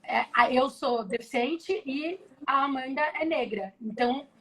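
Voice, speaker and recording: A woman's voice: high-pitched, a little slow, slightly rough, poor audio. A woman from Belém in Brazil, speaking, chatting casually and explaining ideas over a video call. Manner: relaxed, explanatory, frequent restarts. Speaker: guest, tech professional. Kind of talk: casual live chat.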